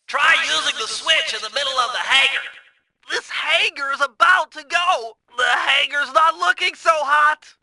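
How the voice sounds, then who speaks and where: A man's voice: very high voice, sharp tone, no room sound, exaggerated voice, rough recording. A man voicing a brainy, cautious young turtle strategist in a cartoon video game, with a thin, nerdy voice and precise, wordy, instructional delivery. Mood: urgent, alarmed. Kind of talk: video game mission briefing and tutorial voice acting.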